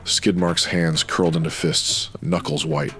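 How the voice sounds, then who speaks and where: A man with a very deep voice reading scripted noir narration as a storyteller. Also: level tone, a little slow, pushed and bright. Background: music.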